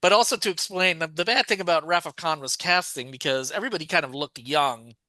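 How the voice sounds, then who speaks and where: A man's voice: a little high, fast, bright, forceful tone, dry recording. A man co-hosting a podcast, chatting casually and rambling with lots of fillers and self-corrections. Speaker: podcast co-host. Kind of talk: casual chat about a TV show.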